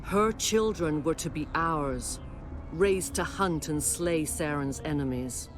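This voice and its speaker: Evil alien matriarch from a game, haughty n menacing, low voice, loud music under it. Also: female voice, takes it slowly. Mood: cold, grandiose. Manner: formal, grandiose, menacing. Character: cold, imperious alien matriarch villain, a mother. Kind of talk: dramatic video game cutscene acting. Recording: prominent dramatic music behind the voice.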